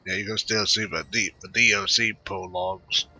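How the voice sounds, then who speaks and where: A man giving casual, rambling gameplay commentary as a video host, speaking in halting phrases. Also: deep voice, steady tone, sharp tone. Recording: muffled home mic with faint noise.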